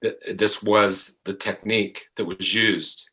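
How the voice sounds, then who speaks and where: A middle-aged man with a low voice and a bright, firm edge talks as an interview guest. He is reflective and conversational, speaking haltingly and often repeating words while he looks for the next one. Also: flat delivery, husky. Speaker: voice actor as podcast guest.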